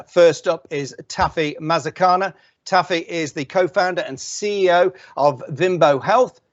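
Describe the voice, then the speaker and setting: A man hosting an event, introducing the morning's speakers in an upbeat announcer manner. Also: on the high side. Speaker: event host. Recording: dull lo-fi with faint music.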